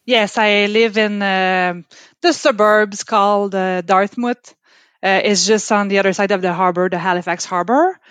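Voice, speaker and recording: A woman from Quebec with a Quebec French accent, a native French speaker talking as a park service guest, pausing often with fillers and restarts. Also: mid-range voice, steady tone, fairly bright tone, no echo. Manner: hesitant, frequent fillers and restarts. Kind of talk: casual interview chat. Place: home, calling in remotely.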